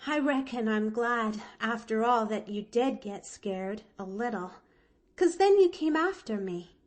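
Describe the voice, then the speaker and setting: Storybook little girl, played by a woman: high-pitched, animated, unhurried, innocent and eager. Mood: grateful. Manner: bubbly, earnest, innocent. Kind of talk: audiobook character voice.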